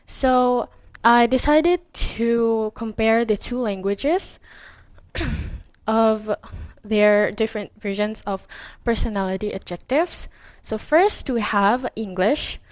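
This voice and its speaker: Halting Indonesian girl presenting slowly. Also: on the high side, dry recording. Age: teens. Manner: halting, with frequent fillers and self-corrections; clears throat often. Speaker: student presenter. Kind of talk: class presentation.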